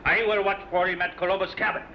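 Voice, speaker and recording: A man's voice: sits high, level tone, fast talker, close to an everyday voice. A man playing a grim, terse mutinous sailor and henchman, conspiratorial, in an old-time radio adventure. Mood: urgent. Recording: dull, noisy old radio recording.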